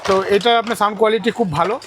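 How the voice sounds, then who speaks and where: A high-voiced Bangladeshi man gives an informal sales demonstration, repeating phrases and prices as he goes. He talks quickly, and the recording has clear background noise. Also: fairly even intonation. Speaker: electronics shop seller. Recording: clearly noisy audio with clicks.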